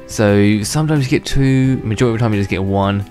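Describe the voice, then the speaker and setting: A young man with a lowish voice talks through a game in a loose, rambling way, often restarting and chuckling at himself. He sounds a little worn, as if he is under the weather. Faint background music plays beneath his voice. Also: quick pace, a little mellow. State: unwell, slightly worn voice. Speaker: gaming channel host. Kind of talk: casual gameplay commentary.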